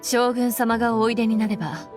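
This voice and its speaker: A woman playing a stern, brisk military commander in an anime game, with a formal, assertive manner. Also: fairly high pitch, little pitch movement, over music, close to an everyday voice. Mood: firm, commanding. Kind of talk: Japanese anime game voice acting.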